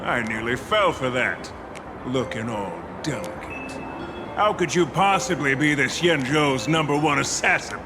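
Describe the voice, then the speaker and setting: A man's voice: medium pitch, expressive, a little slow, noisy background, natural voice. A man playing a hot-tempered, swaggering gangster underboss in a video game, brash and theatrical, sliding between snarls and mock politeness. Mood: suspicious, angry. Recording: loud background music.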